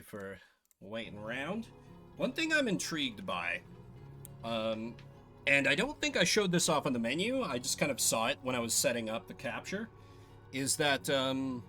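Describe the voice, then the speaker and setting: A man's voice: medium pitch, bright, forceful tone. A man giving casual livestream commentary as he plays a game, musing and thinking aloud. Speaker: game streamer.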